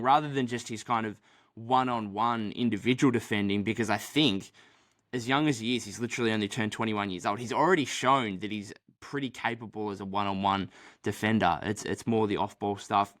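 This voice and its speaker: A man's voice: fairly low pitch, a bit bright. Rambling man on a sports podcast. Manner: rambling, many fillers and restarts. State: dry voice in the morning. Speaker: podcast co-host. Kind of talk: casual sports podcast chat.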